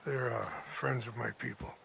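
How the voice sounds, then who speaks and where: Gravelly, dignified, weary chief. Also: male voice, mid-range voice, fairly even intonation, normal speed, a bit bright, a little hiss or hum. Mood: calm, deferential. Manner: polite, formal phrasing.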